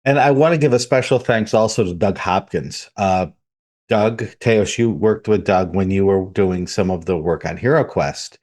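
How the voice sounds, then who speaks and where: A man's voice: low-pitched, normal speed, a little mellow. A man hosting a podcast in a conversational style. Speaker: podcast host.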